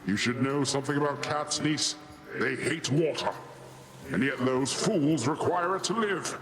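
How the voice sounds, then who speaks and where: A man's voice: a little high, measured pace, a crowd in the background, close to an everyday voice. A man acting a boastful, goofy middle-aged sea god uncle in a video game, with a grand, jovial theatrical delivery. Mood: amused, musing.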